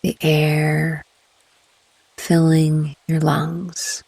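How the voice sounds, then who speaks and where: A woman narrates a guided meditation at a slow, restful pace. Her delivery is gentle and calm, with pauses between phrases. The sound is dry and intimate, with little room around the voice. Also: deep voice, creaky, slightly rough, no echo, rough recording.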